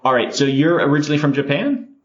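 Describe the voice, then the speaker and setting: A man's voice: a little high, fast talker, dull audio. A man hosting a podcast interview, upbeat and chatty, often saying "all right" between questions. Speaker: podcast host. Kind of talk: casual interview chat.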